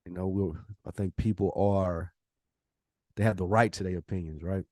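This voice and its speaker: A man's voice: deep voice, steady tone, normal speed, warm tone, dry recording, studio quality. A man hosting a podcast, talking casually and giving opinions in an emphatic, slangy way with rhetorical questions. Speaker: podcast host.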